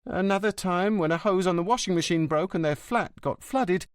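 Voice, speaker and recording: A man's voice: sits high, normal speed, clean tone, dry recording, clean studio sound, voice pushed up, lightly acted. A man voicing a frantic, gossipy, melodramatic old woman concierge in an audiobook. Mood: indignant. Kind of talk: audiobook character voice.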